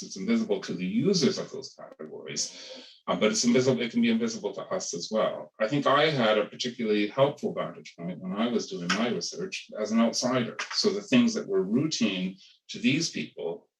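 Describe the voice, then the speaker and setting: Academic man on a webinar panel, deep voice, halting restarts, roomy distant call audio. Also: a little hiss or hum. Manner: thoughtful, hedging, many restarts and repeated words. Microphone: video call.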